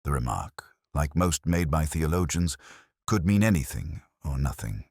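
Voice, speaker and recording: A man narrating a detective story in the first person in a measured, reflective, literary manner. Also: very deep voice, very animated, a little mellow, slightly rough, dry recording, studio quality.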